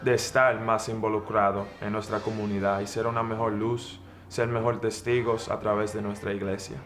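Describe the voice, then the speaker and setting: A man's voice: fairly low pitch, little pitch movement, normal speed, a bit bright, soft background music. A young man from the Bronx with a New York Dominican accent, giving a personal testimony. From the Bronx, United States. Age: young adult. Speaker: student and missionary volunteer.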